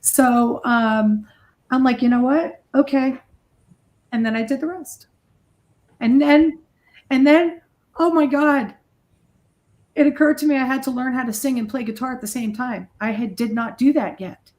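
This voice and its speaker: Candid woman with a higher-pitched voice. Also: measured pace, pauses often, a little mellow. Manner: candid, self-deprecating, blunt. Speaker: heavy metal guitarist, singer and songwriter being interviewed. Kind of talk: casual interview chat, anecdotal storytelling.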